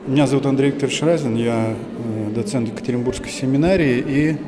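A male seminary lecturer giving a brisk, slightly halting interview statement. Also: mid-range voice, very noisy. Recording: echoey hall with crowd.

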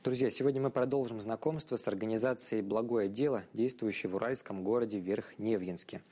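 A man with a lowish voice presents a religious television programme. He delivers scripted educational narration. His phrasing is formal, bookish and measured. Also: warm tone, slight background noise.

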